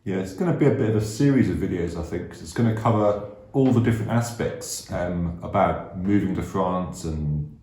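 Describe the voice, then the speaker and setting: A middle-aged man born in Hong Kong, co-hosting a casual chat vlog, explaining things in a measured way with fillers. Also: sits low, warm tone. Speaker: vlog co-host. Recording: echoey room.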